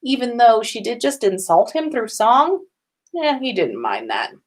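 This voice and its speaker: A woman's voice: a little high, sing-song, measured pace. A woman podcast host reading fan fiction aloud in an informal storytelling style.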